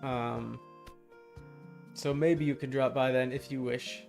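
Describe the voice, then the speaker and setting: Guy streaming games, just chatting, kinda blunt n sweary, normal pitch, game music going behind him. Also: steady tone, takes it slowly, creaky, a little mellow. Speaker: live streamer. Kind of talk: casual gaming stream chat.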